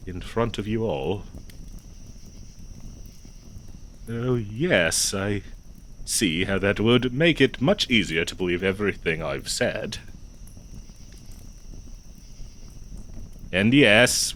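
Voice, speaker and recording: A man playing a flustered, devoted nineteenth-century gentleman in a comedic audio roleplay, verbose and old-fashioned, with a nervous stammer. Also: lowish voice, slight background noise. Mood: sheepish.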